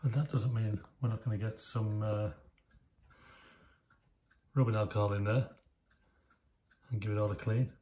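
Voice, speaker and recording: A man giving casual, hands-on commentary in short, unhurried remarks as he works on equipment. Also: lowish voice, steady tone, warm tone. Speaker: host of a hands-on vintage electronics video. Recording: clear background noise with clicking.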